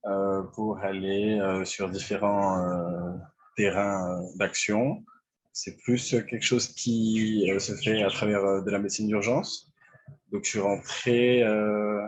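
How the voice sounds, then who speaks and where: A man in his twenties from France, a medical intern, talking hesitantly about his career with many pauses and fillers. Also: fairly low pitch, darkish tone, husky, poor audio. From France (Lyon). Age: 20s. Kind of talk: online panel talk about his career.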